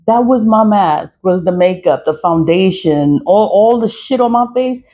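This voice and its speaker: A woman's voice: medium pitch, animated, unhurried, mellow. A woman in her mid-forties ranting casually to her followers, blunt and profane, laughing often between points. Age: 40s. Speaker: social media creator. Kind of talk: casual vlog rant.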